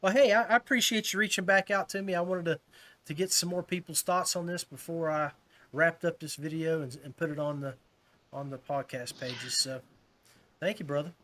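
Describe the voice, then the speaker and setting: A man's voice: fairly high pitch, fairly even intonation, moderate pace, pushed and bright. A man hosting a fishing podcast in casual chat, talking informally like a buddy. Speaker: podcast host.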